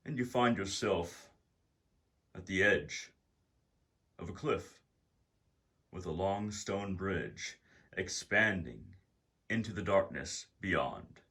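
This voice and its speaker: A man narrating a guided meditation as its guide, in deliberate, measured phrases with pauses. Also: deep voice, gravelly, a bit bright, breathy edge.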